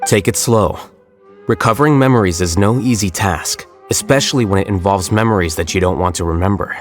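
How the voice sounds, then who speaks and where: An actor playing a calm, composed male scholar speaking in a measured way. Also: fairly low pitch, soft background music, lightly acted. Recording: game soundtrack behind.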